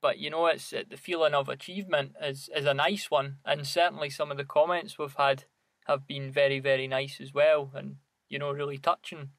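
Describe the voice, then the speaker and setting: A man's voice: fairly high pitch, flat delivery, a little fast, a bit bright, no echo. A man co-hosting a podcast in casual, conversational chat. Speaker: podcast co-host.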